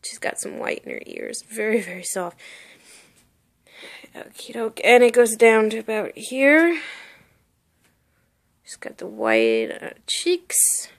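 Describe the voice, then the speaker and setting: A woman's voice: on the high side, huge pitch swings, slow, vocal fry, long pauses. Bubbly young woman gushing over a costume. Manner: bubbly, gushing, lots of "like" and "really, really". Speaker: seller showing a costume. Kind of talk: casual show-and-tell vlog. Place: bedroom, indoors.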